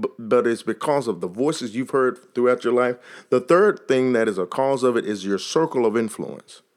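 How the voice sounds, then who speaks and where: A man with a Texas accent hosting a podcast and giving a motivational talk, repeating phrases and building emphasis like a preacher. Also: medium pitch. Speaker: podcast host.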